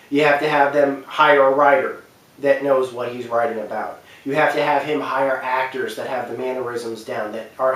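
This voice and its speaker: A man's voice: mid-range voice, a bit bright. Echoey, distant man giving rambling commentary. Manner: halting, repetitive, rambling delivery. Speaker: video commentator. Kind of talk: unscripted opinion talk. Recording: very echoey room, distant microphone.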